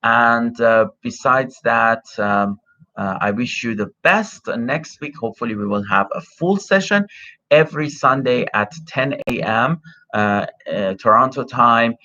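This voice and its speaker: A man's voice: medium pitch, unhurried, pushed and bright. A middle-aged man whose first language is Farsi, hosting a live Q&A as an immigration consultant, hesitant with many fillers and restarts, speaking into a cell phone. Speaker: immigration consultant, livestream host. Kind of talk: live Q&A, reading and answering viewer questions. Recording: dull lo-fi phone audio.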